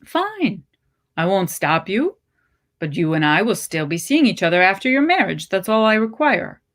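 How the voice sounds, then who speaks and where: A woman's voice: medium pitch, very animated, normal speed, fairly bright tone. Woman reading a cool, possessive male lover. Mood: cool insistence. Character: calm, possessive, controlling male lover, coolly insistent.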